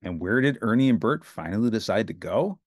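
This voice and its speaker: A man narrating a children's storybook as an animated read-aloud. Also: fairly low pitch, a little fast, no echo, clean studio sound.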